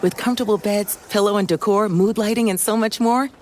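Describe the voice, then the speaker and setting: A woman's voice: mid-range voice, steady tone, a little fast, darkish tone, a little hiss or hum. A woman announcer reading a scripted commercial voice-over. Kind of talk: advertising voice-over.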